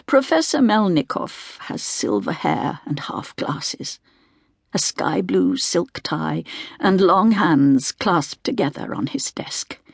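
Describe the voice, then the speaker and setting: Woman, audiobook narrator, literary first-person storytelling, creaky fry in the voice, dry studio sound, deliberate phrasing. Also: fairly low pitch, dry recording.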